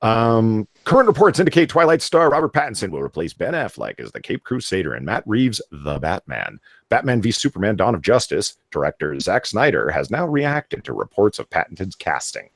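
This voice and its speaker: A man hosting a live online talk show, with a lively voice that rises and falls a lot. He swears casually and breaks into articles he reads aloud with mocking remarks. The sound is dry and close, with no room in it. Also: lowish voice, fairly quick, no room sound. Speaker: podcast host.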